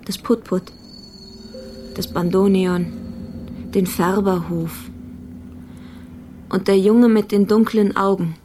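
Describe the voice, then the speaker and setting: An actress playing an uneasy woman drawn into a detective's nightmare in a radio play, speaking in halting fragments. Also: medium pitch, steady tone, warm tone, a little hiss or hum, natural voice. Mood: probing, tense. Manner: halting, short fragmented phrases.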